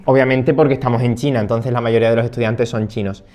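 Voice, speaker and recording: A man's voice: mid-range voice, fairly even intonation, fast talker, darkish tone. Hesitant young man, casual chat. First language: Spanish. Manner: hesitant, many fillers and restarts. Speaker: interpreting master's student.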